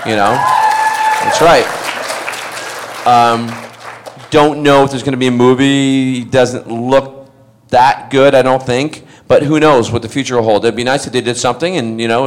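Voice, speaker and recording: Middle-aged man, actor guest, animated and laughing, anecdotal storyteller. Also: medium pitch. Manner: animated, laughing, acts out other voices. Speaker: actor guest at a fan panel.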